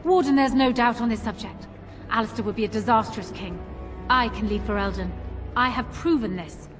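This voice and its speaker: A woman's voice: a little high, normal speed, music in the background, close to an everyday voice. An actress playing a proud, ambitious queen in a video game, speaking formally with assertive, regal self-assurance. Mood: confident, dismissive. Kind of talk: video game cutscene acting.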